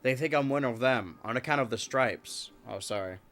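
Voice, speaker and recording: A man with a stuffed-up nose hosts a casual live stream, joking with chat and talking to his cats. His voice comes across bright and forward. Soft music plays faintly underneath. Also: mid-range voice, moderate pace.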